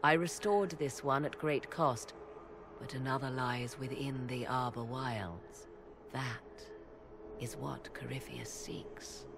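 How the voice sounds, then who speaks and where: An actress voicing a haughty, knowing fantasy game sorceress who speaks in deliberate, formal, archaic phrases. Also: female voice, extremely low pitch, background noise, heavily acted. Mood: haughty, cryptic.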